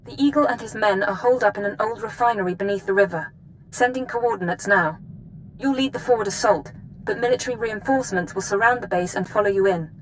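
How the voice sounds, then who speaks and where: An actress playing a businesslike imperial intelligence handler in a video game, giving clipped, formal briefings. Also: female voice, mid-range voice, quick pace, a little hiss or hum, close to an everyday voice, rough recording.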